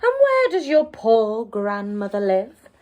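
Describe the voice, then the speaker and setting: A woman voicing a sly, greedy male storybook wolf with a soft, coaxing, drawn-out delivery. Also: fairly high pitch, huge pitch swings, heavily acted. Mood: falsely sweet. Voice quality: silky, soft. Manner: coaxing, drawn-out, falsely kind.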